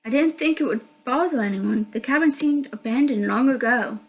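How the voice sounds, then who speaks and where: A woman's voice: high-pitched, normal speed, dull audio, pitched above the performer's own voice. A woman playing a nervous, polite young knight in a visual novel. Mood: nervous, apologetic. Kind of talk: visual novel character reading.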